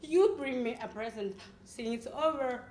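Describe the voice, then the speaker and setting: A woman's voice: fairly high pitch, a little mellow, pitched below the performer's own voice, close to an everyday voice. A woman playing a volatile, heartbroken young woman in a melodramatic acting monologue. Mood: furious, tearful. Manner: melodramatic, tearful outbursts and drawn-out pleading. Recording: echoey room.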